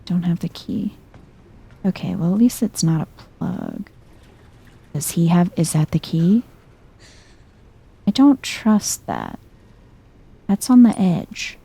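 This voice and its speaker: A soft-spoken woman giving hushed ASMR-style game commentary, musing to herself in an unhurried, breathy way and often dropping into a whisper. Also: lowish voice, wildly swooping pitch. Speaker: video game streamer, let's player.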